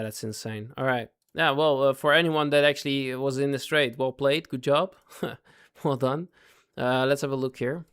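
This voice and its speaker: A man doing casual live commentary on his trades for a chat audience. He is losing his voice, repeats himself and keeps asking viewers if they follow. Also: mid-range voice. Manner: talks in fits and starts, repeats himself, asks chat to follow. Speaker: day trading livestreamer.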